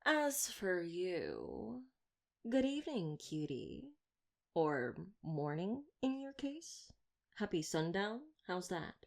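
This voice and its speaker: Woman as flirtatious female vampire hunter, lowish and very animated, wordy and playfully theatrical, for roleplay audio. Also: takes it slowly, creaky, exaggerated voice. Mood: teasing. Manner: wordy, playful, teasing, mock-grand delivery. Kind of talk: ASMR roleplay audio drama addressed to listener.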